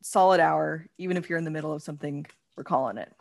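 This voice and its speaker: A woman's voice: low-pitched, fast, gravelly, a little mellow, no room sound. A young adult woman chatting informally as a podcast co-host, with a wry, teasing way of talking. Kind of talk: casual banter between siblings.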